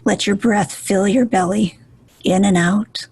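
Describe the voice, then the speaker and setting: Female meditation guide, very husky and breathy, unhurried and gentle, close dry sound. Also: medium pitch, fairly even intonation, no room sound, rough recording. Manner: gentle, soothing, deliberate with pauses.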